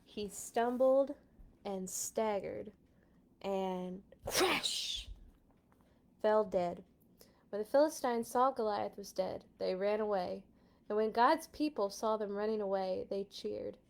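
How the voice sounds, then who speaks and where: Female children's read-aloud host: unhurried, expressive, playful, informal. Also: medium pitch, gravelly. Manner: dramatic, playful sound effects. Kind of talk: expressive storybook reading with casual asides.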